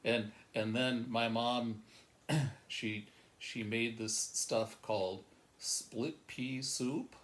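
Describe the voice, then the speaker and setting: A man's voice: medium pitch, steady tone, drawn-out words, fairly bright tone. A man voicing an agreeable, eager young storytime sidekick, talking simply and hesitantly and repeating words. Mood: earnest. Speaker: storytime co-host.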